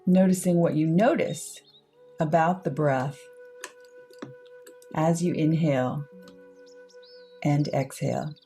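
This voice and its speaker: A woman leading a guided meditation, speaking in unhurried, measured short phrases with pauses between them. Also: low-pitched, mellow, soft background music. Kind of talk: guided meditation, slow soothing instruction.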